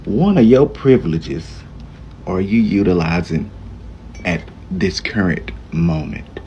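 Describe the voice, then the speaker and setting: A man's voice: low-pitched, animated, slow, warm tone, lo-fi sound, slight background noise. A man reacting to a clip in a sarcastic, mocking manner. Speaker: video reactor.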